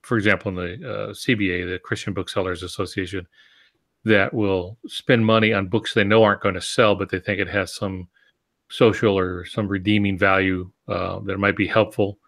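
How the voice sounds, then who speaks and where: A man's voice: deep voice, fairly even intonation, moderate pace, a bit bright. A man co-hosting a podcast, giving conversational advice in a plainspoken, matter-of-fact way. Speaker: podcast co-host.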